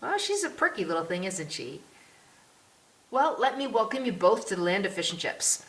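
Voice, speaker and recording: A woman's voice: mid-range voice, big pitch swings, normal speed, fairly bright tone, pitched above the performer's own voice. A woman voicing a genial English policeman in an audiobook, kindly, folksy and teasing with children. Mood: warm teasing. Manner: jovial, avuncular, folksy. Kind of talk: audiobook character voice. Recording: roomy echo with clear hiss.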